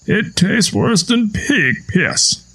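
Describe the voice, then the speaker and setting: A man voicing a gruff old tenant farmer with a strained, choked voice and blunt, folksy talk. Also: high voice, sing-song, very slow, sharp tone, pitched above the performer's own voice, full character voice. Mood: gruff, matter-of-fact. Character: gruff old backwoods Southern father-in-law, hard-drinking tenant farmer.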